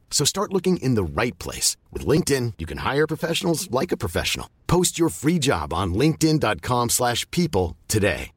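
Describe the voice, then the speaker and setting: A man's voice: mid-range voice, breathy edge, no room sound, studio quality. A man reading a scripted ad in an upbeat, salesy way. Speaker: ad announcer. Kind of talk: scripted radio ad read.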